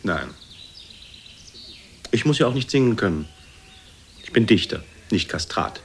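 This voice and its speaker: An actor playing a vain poet reproving his mother with measured formality, in radio play style. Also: fairly low pitch, lively intonation, vocal fry, a little hiss or hum. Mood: weary, dismissive. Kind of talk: German radio play acting.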